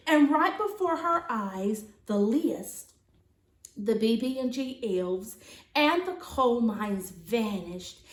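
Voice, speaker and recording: A woman reads a children's story aloud like a teacher to a class. Her voice is high and she takes her time, with dramatic expression. The room around her sounds echoey. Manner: dramatic, expressive, with gasps and asides to listeners. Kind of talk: animated storybook read-aloud.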